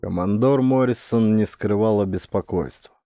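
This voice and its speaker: A man narrating a scripted science fiction audiobook dramatically, with suspenseful, deliberate delivery. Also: fairly low pitch, warm tone, faint music behind.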